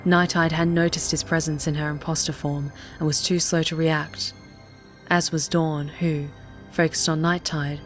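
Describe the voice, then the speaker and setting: A woman's voice: deep voice, little pitch movement, measured pace. A woman narrator reading a scripted story in a dramatic audiobook style. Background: faint music.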